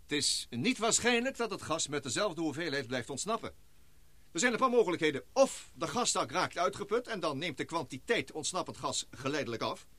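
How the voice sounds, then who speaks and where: An actor playing an earnest, excitable scientist and expedition leader in a Dutch radio play, speaking formally and precisely. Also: male voice, a little high, a little fast, no echo, exaggerated voice. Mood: grave, explanatory.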